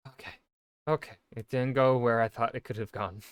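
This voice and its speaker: A man's voice: mid-range voice, steady tone, normal speed, smooth, dry recording, clean studio sound. A man giving casual reaction commentary as a video host, exclamatory and wry, sometimes sweary.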